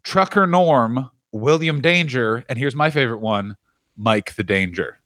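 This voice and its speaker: A man's voice: medium pitch, animated, measured pace, fairly bright tone, no echo. Riffing comedian man, dry close mic. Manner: riffing, slips into mock voices for bits. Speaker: comedian podcast co-host. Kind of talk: casual comedic chat.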